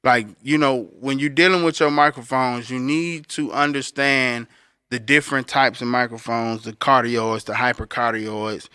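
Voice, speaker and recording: Bright, crisp male podcast co-host. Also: steady tone, normal speed, no room sound, clean studio sound. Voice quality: deep voice. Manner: conversational explainer, addressing listeners directly. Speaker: podcast co-host and recording engineer. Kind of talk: casual instructional talk.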